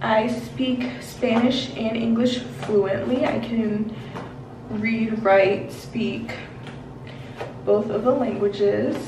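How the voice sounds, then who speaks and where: A woman's voice: fairly high pitch, fairly even intonation, extremely slow. A woman of twenty-one chatting casually and rambling with many fillers while she gets ready in a bathroom, on a camera a few feet away. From Washington, D.C. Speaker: beauty vlogger. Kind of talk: casual get-ready-with-me chat. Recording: roomy bathroom echo, mic at a distance, noisy.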